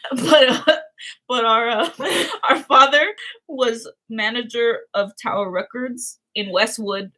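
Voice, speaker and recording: A woman with a bright, somewhat high voice chats loosely on a livestream. She rambles, giggles often and reads viewer comments aloud. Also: slow pace. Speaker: livestream co-host. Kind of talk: casual livestream chat with a sibling.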